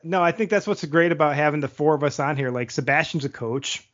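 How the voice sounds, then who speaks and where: A man co-hosting a hockey podcast, a goalie, reading play-by-play rundowns of highlight goals and chatting with the others. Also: brisk, a bit bright, lo-fi sound. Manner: reads plays like sports commentary, then chats loosely.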